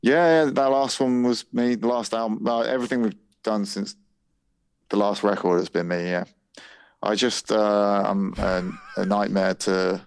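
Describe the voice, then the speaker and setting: Gravelly, tired-sounding British man. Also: fairly low pitch, unhurried, dry recording. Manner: relaxed, modest, tired. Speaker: guest musician. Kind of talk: casual interview chat.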